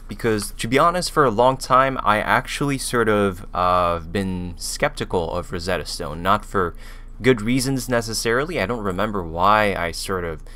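A man's voice: lowish voice, sharp tone. A man in his thirties with a French accent, a native French speaker, reviewing a language program in a casual, hesitant way, full of fillers and restarts, recorded on a studio mic in a small room. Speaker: language learning YouTuber. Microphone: studio mic with pop filter on boom arm.

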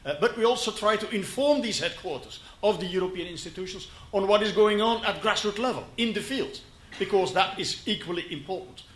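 A man in his fifties from Belgium, a native Flemish speaker, giving a conference presentation in English with a Flemish accent at a podium microphone. He speaks haltingly, with frequent fillers and restarts. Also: high voice, a bit bright. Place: conference hall. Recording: echoey conference hall.

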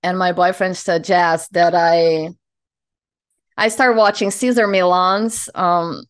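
A woman's voice: lowish voice, steady tone, slow, smooth. Chatty Brazilian woman on a video call. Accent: Brazilian Portuguese. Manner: friendly, chatty, laughs often, with small slips in English. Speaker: hypnotherapist host. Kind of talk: casual introduction on a video call. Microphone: headphones on a video call.

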